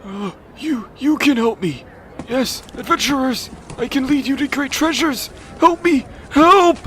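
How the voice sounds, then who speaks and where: A man's voice: very high voice, a little slow, a bit bright, a little hiss or hum. A man playing an unhinged, stammering old dwarf who desperately rants in an audio drama. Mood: desperate pleading. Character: ranting homeless old dwarf man claiming dragonhood. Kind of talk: audio drama character acting.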